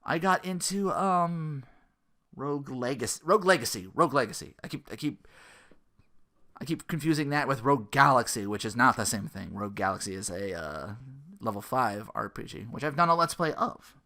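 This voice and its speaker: Animated man hosting a casual gaming podcast. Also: medium pitch, moderate pace. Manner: rambling, slangy, acting out imagined quotes. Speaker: podcast host.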